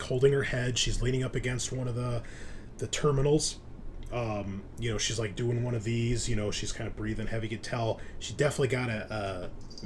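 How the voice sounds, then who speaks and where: A man's voice: mid-range voice, a little hiss or hum. A man narrating a tabletop horror game as game master in a casual, conversational way.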